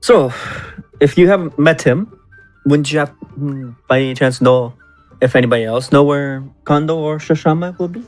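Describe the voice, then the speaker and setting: A man with a slightly high voice roleplays as a bard at a tabletop game. His phrasing is polite, wordy and mock-formal, with halting stops as he improvises. Faint music sits underneath. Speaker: tabletop player speaking as a bard character.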